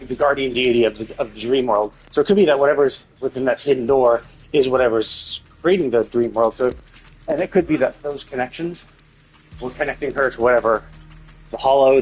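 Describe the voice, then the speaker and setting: Male streamer, medium pitch, casual and occasionally profane, musing aloud over quiet background music. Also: husky, rough recording. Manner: speculative, thinking out loud. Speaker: game livestreamer. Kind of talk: casual live game commentary.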